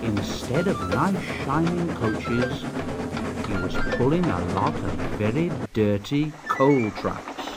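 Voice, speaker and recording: A man's voice: mid-range voice, expressive, takes it slowly. A man reading a scripted children's story as its narrator. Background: music.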